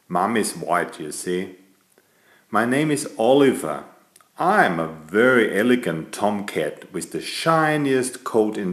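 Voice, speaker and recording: A man's voice: on the low side, echoey room. A man voicing a vain, chatty, elegant tomcat who playfully tells a bedtime story to small children. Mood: self-satisfied. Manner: playful, self-satisfied, addressing small children.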